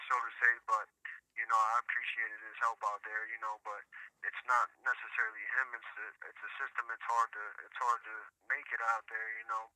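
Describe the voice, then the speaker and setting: A man's voice: lowish voice, flat delivery, fairly quick, dry recording. An American man speaking over a telephone, giving an earnest conversational account peppered with "you know". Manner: repeatedly says "you know". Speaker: prison inmate being interviewed by phone. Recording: sharp prison phone line.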